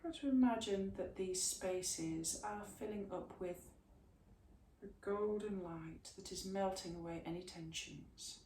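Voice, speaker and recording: Soft-spoken woman leading yoga, echoey room. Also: mid-range voice. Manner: soft, slow, soothing guidance. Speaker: yoga teacher. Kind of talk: yoga class instruction and guided relaxation. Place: room, recorded at a distance from the camera mic. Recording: echoey room, mic at a distance, faint hiss.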